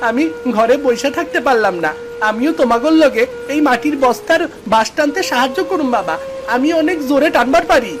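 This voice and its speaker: A woman's voice: sits high, expressive, a little fast, over music. A woman voicing a brave, eager village boy in a story, earnest and insistent, with a rural Bangladeshi accent. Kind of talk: dramatised Bengali story acting.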